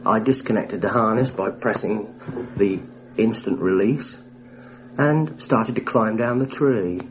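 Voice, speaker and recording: A man, a former airman, recalling his wartime experience in a measured, matter-of-fact way for a radio documentary interview. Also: on the low side. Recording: muffled 1960s radio recording, hissy.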